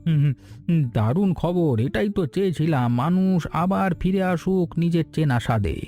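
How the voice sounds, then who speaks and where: A man's voice: a little high, animated, normal speed, warm tone, soft background music. A man playing an earnest, polite village hotel owner in a cartoon moral story. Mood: pleased.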